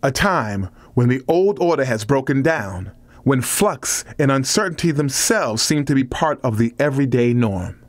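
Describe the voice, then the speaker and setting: A man reads scripted nonfiction as an audiobook narrator, with measured, deliberate phrasing. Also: medium pitch, lively intonation, no echo, clean studio sound.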